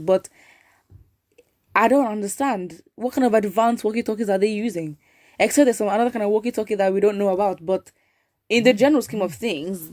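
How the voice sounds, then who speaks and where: A woman's voice: mid-range voice, dark tone, no echo, clean studio sound. A woman giving opinionated news commentary as host, exasperated, with emphatic repetition and rhetorical questions. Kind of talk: opinionated commentary, reading viewer comments aloud.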